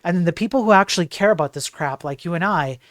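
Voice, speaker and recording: A man's voice: sits high, quick pace, fairly bright tone, dry recording, clean studio sound. A man giving opinionated tech news commentary on a livestream, emphatic and digressive, tossing in rhetorical questions. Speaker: tech news streamer and programmer.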